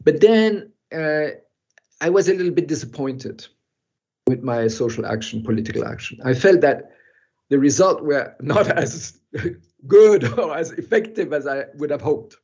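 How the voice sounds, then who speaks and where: An older man who grew up in Europe, speaking as a guest in an online talk and telling a personal story in a halting, reflective way with soft laughs. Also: a little high, lively intonation, slightly rough. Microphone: video call. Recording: muffled video call audio.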